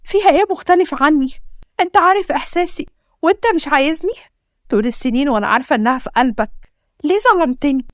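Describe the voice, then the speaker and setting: A woman's voice: high-pitched, fast, dry recording, clean studio sound, pitched above the performer's own voice. Woman putting on a bitter, wounded wife with an Egyptian accent. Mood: bitter, pleading. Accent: Egyptian Arabic. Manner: accusing, pleading questions. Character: hurt, bitter young wife.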